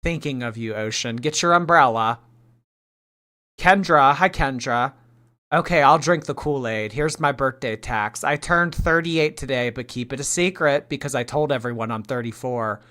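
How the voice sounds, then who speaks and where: A chatty woman in her late twenties hosts a livestream reaction, bright and forward in tone. She teases her viewers and drifts between loud bursts and mumbled asides. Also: very deep voice, moderate pace. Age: late 20s. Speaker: streamer.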